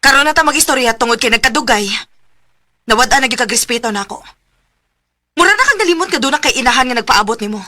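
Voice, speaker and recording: A woman's voice: fairly high pitch, expressive, quick pace, long pauses, sharp tone. An actress with a Visayan Filipino accent playing a stern, scolding mother of a grown daughter in a radio drama. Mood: angry, hurt.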